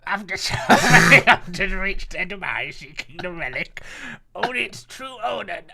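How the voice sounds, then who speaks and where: A man voicing a portentous storyteller of an ancient relic hunt, grandiose and halting, with a gravelly voice. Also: high-pitched, very animated, pushed and bright, voice pushed up, full character voice.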